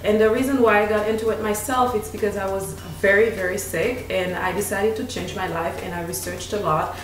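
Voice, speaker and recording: A woman's voice: mid-range voice, a little fast. A woman in her thirties with a Haitian accent, a nutritionist chatting casually and laughing often. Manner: animated, laughs often, restarts sentences. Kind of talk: casual chat. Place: home kitchen, indoors. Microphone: desk mic on tripod, camera at a distance. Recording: echoey room, voice from a distance.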